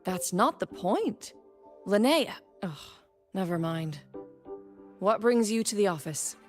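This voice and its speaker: Stern, businesslike academy staffer. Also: female voice, medium pitch, animated, slow pace. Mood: exasperated. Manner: businesslike, reproving. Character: stern, exasperated, dutiful female academy staff member. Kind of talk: anime-style video game voice acting. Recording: music behind.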